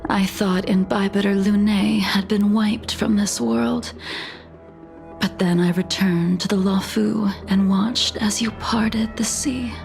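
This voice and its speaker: A woman voicing a serene, ancient female swordmaster in a video game, breathy and unhurried, coolly knowing, melancholy and softly menacing. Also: mid-range voice, fairly even intonation, faint music behind. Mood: calm, knowing.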